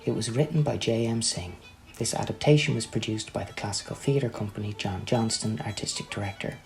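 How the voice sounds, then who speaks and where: A man's voice: fairly low pitch, fairly quick, gravelly, darkish tone, soft background music, poor audio. A man reading scripted credits and stage directions as the announcer of a radio play. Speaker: announcer and narrator.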